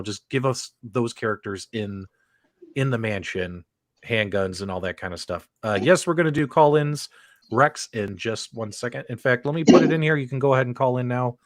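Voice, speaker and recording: A man's voice: medium pitch, a bit bright, dry recording, clean studio sound. A middle-aged man casually hosting a live-streamed chat, rambling loosely and tossing questions to his co-hosts. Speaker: podcast host.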